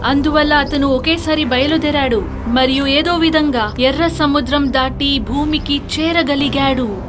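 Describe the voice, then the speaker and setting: High-pitched woman narrating a fairy tale.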